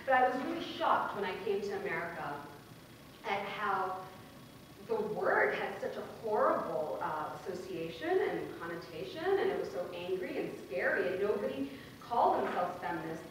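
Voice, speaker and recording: Young female speaker, conversational and earnest, recorded far off in a large hall with steady background noise. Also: medium pitch. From Bangladesh. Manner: earnest and conversational, with laughs and fillers. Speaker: workshop speaker. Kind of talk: conference talk. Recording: very echoey hall, mic far off.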